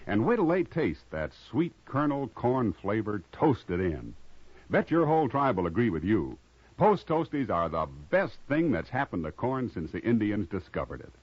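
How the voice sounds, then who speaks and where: A man's voice: fairly low pitch, breathy edge, no echo. A man announcing a 1950s radio commercial in a chatty, persuasive, folksy style. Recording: muffled, dry old radio recording.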